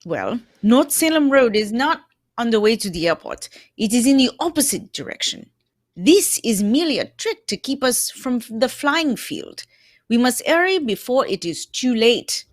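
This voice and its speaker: A woman's voice: fairly high pitch, very animated, moderate pace, no room sound, clean studio sound. A young woman playing a fussy, self-assured Belgian male detective in a radio mystery reading. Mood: urgent. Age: young adult. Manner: theatrical, courteous, precise. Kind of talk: live reading of old-time radio mystery script.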